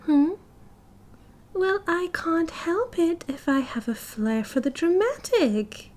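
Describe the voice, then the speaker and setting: A woman's voice: very high voice, big pitch swings, a little mellow, full character voice. A British woman playing a cheerful, oblivious and possessive yandere girlfriend in an ASMR roleplay, with a breezy, giggly, dramatic manner. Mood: teasing, doting. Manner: giggly, breezy, dramatic, chatty with drawn-out casual delivery.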